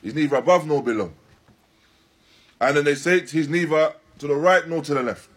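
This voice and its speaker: A man teaching in a religious lecture, deliberate and emphatic, repeating and rephrasing his phrases as he explains a text. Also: on the high side, breathy edge. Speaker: religious teacher giving a lesson. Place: Islamic centre, live stream.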